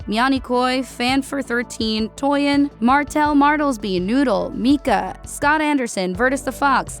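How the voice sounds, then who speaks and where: A woman reading scripted narration as an audiobook narrator. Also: high voice. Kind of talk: scripted narration of a sci-fi war story. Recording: music under the voice. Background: background music.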